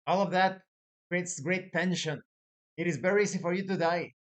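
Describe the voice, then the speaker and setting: A man hosting a tabletop gaming advice video as a game master, speaking in a deliberate, emphatic way with dramatic lists. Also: high-pitched. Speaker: host, professional game master.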